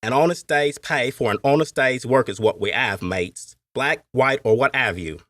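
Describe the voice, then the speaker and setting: A man's voice: mid-range voice, moderate pace, fairly bright tone, dry recording, clean studio sound, voice pushed up, lightly acted. A man voicing a boisterous English working man with a Cockney accent, speaking brash and forthright.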